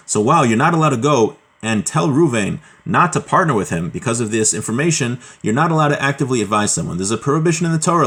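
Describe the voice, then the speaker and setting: Man explaining laws from a Hebrew text. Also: mid-range voice. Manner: reads Hebrew passages, then explains them plainly in English; relaxed, with frequent fillers and self-corrections. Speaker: Torah teacher.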